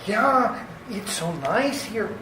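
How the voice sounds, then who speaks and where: Man acting a high-pitched nervous sidekick, slow, halting. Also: animated, sharp tone, heavily acted. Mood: nervous, placating. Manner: halting, stammering, fawning. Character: nervous, giggly, timid villain's doctor sidekick. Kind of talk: radio theater acting. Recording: echoey, distant, faint noise.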